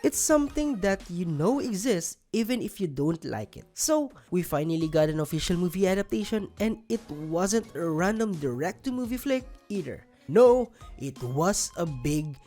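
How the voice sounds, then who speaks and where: A woman with a fairly low voice delivers scripted countdown commentary. Her intonation is very animated, with sardonic, emphatic opinions. Light music plays underneath. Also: moderate pace, few pauses. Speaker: video narrator. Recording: music bed under voice-over. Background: background music.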